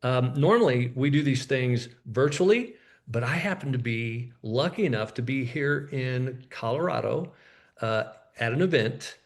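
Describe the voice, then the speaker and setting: A man's voice: mid-range voice, animated, measured pace. A man hosting a webinar who introduces the guest loosely and hesitantly. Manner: hesitant, loose, with fillers and restarts. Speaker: webinar host. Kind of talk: casual introduction. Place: in-person event room.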